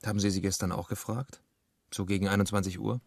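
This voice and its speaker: Trockener Kommissar, tiefe Stimme. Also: male voice, lowish voice, flat delivery, fast talker, no echo. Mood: insistent, challenging. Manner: probing, dry. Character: dry, probing male police inspector. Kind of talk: naturalistic German radio drama acting.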